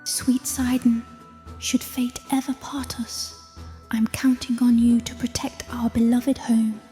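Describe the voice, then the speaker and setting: A woman playing a gentle, loving sister's spirit in a fantasy audio drama, soft and breathy. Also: a little high, level tone, slow pace. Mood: tender, solemn. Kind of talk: cinematic audio drama acting. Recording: long reverb over faint music.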